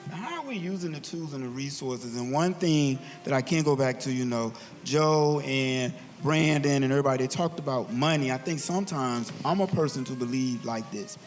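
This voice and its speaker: A man's voice: medium pitch, moderate pace, hardly any pauses, faint music behind. Man moderating a live podcast panel. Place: theatre stage.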